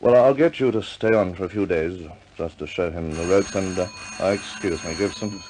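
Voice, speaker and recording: An actor playing a middle-aged senior RAF commander in a 1950s British war film, speaking in a measured, clipped British accent with firm but kindly authority. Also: male voice, deep voice, background noise, close to an everyday voice. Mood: kindly, regretful.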